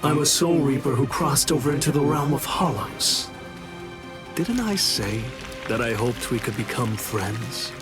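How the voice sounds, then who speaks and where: An actor in an English anime game dub playing a cocky, teasing masked Soul Reaper with a sardonic, theatrical delivery. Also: male voice, medium pitch, lively intonation, measured pace, natural voice. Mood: smug, taunting. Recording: music behind the voice.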